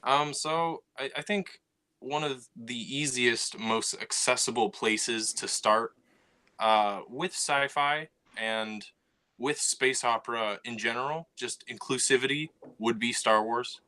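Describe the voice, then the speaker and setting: A man co-hosting a casual sci-fi podcast, explaining at a measured pace and often saying "you know". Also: mid-range voice, bright, forceful tone. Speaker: podcast co-host, writer. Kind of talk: casual chat.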